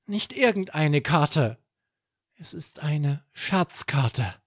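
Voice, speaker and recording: Small secretive plush toy for a bedtime storybook, raised pitch, husky, earnest and conspiratorial. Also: male voice, expressive, extremely slow, no room sound, studio quality, exaggerated voice.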